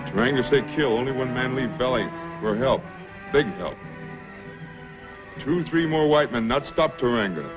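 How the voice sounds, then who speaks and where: A man's voice: medium pitch, moderate pace, pauses often, lightly acted. Guy playing a menacing old radio chief, clipped broken english, muffled sound w music under it. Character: menacing Indian chief threatening attack. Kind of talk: old-time radio adventure acting.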